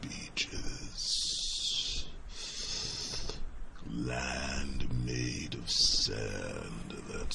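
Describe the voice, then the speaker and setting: A man voicing a mischievous royal dragon mentoring a youngling, with a breathy growl and formal, musing hums, in an ASMR fantasy roleplay. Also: noisy background, full character voice. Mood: gentle, stately. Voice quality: very deep, gravelly, breathy growl. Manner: slow, stately, formal, musing with low hums.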